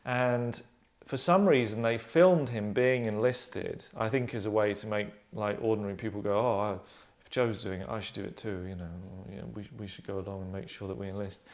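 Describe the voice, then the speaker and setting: A man's voice: fairly low pitch, fairly quick, hardly any pauses. A man, a writer narrating and discussing a script at a table read. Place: small living room, seated on sofas.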